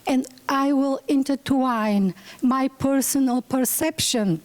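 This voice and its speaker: A woman's voice: sits high, slow. A woman from Bulgaria with a Bulgarian accent, a museum director giving an earnest symposium talk and sometimes searching for words. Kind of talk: symposium presentation.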